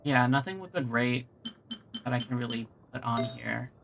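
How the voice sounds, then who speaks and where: A streamer talks through a game in a casual, think-aloud way, often muttering to themselves. The voice sits at a middling pitch, and game music plays clearly underneath it. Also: moderate pace. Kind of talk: casual live gameplay commentary. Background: video game music.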